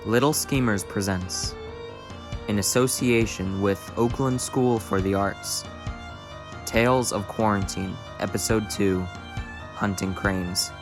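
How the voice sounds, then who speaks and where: Slow, low male announcer over music. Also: steady tone. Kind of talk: scripted title and credits announcement. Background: prominent music with guitar and strings.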